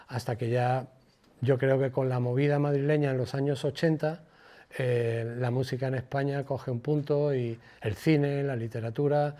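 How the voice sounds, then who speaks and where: Older Spanish man, mellow informal lecturer. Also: medium pitch. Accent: Spanish from Spain. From Spain. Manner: colloquial, digressive, halting with repeated words. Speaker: guest lecturer, former radio presenter. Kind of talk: informal public lecture with personal anecdotes.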